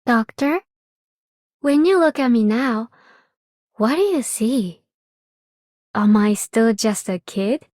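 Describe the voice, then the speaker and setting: Sweet, very high-voiced young game girl. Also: lively intonation, slow, long pauses, a little mellow, dry recording, clean studio sound. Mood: earnest, questioning. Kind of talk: scripted game character lines.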